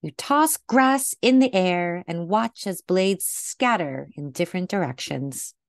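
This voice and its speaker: A woman reading a picture book aloud to children in a gentle, deliberate way, recorded indoors over a video call. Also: lowish voice, expressive, vocal fry, no echo, clean studio sound. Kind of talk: read-aloud storytelling for children.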